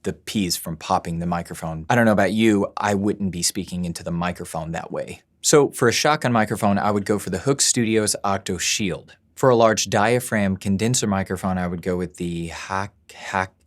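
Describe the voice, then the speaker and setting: Male voice-over coach, slightly low voice, chatty and self-joking gear rundown. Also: moderate pace, hardly any pauses. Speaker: voice-over studio owner and teacher. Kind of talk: gear tutorial video. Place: studio at work.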